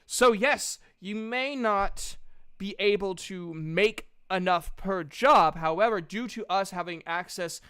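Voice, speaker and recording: A man's voice: high-pitched, takes it slowly, bright, forceful tone, smooth, dry recording, studio quality, exaggerated voice. A man voicing an earnest, diplomatic woman representing a guild in a tabletop game, speaking formally and reasoning things through. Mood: measured, persuasive. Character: earnest, diplomatic female guild representative making a counter-offer.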